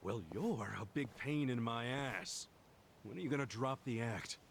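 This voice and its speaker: A man's voice: mid-range voice, unhurried, creaky, slight background noise. A man playing a defiant, brash ex-yakuza fresh from prison in an English-dubbed video game, foul-mouthed and emphatic. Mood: defiant, guarded.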